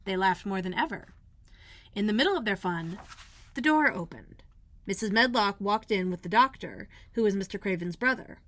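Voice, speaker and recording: A woman narrating a children's storybook like a school librarian reading aloud, expressive and clearly phrased. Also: mid-range voice, moderate pace.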